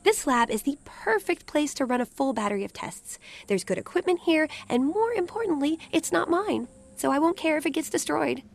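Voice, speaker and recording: High-pitched, cocky female genius. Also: lively intonation, vocal fry. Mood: brisk, flippant. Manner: flippant, condescending, brisk. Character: brash, cocky female asura genius golem expert. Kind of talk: video game cutscene voice acting.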